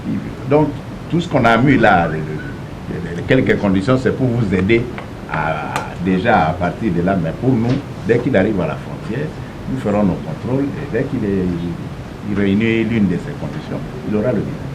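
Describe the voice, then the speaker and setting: A man heads a border police service and explains new entry rules, often breaking off and restarting. His voice sits very low. A steady, clearly audible rumble runs behind him throughout. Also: normal speed. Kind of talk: briefing then answering a reporter. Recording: noisy room with rumble.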